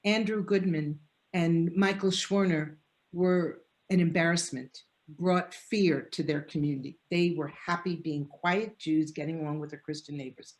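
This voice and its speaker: A woman's voice: fairly low pitch, steady tone, moderate pace. A woman professor answering a panel question over a video call, talking in an earnest, discursive way with restarts. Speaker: guest speaker, professor. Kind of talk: lecture-style answer in an online panel.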